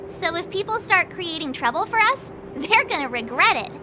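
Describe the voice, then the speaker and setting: A woman's voice: very high voice, sing-song, normal speed, noisy background. A woman playing a perky, cocky teenage girl adventurer in a video game, breezy and sassy. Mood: confident, breezy. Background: music.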